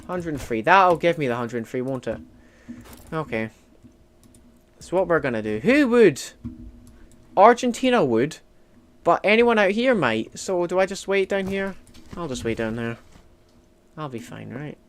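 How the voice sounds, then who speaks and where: A man with a fairly high voice plays a strategy game and talks it through to himself. He jumps from loud exclamations to quiet mutters, with faint keyboard clicking underneath. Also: very animated, a little slow, long pauses, slight background noise. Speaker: gaming streamer. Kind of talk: casual let's-play commentary.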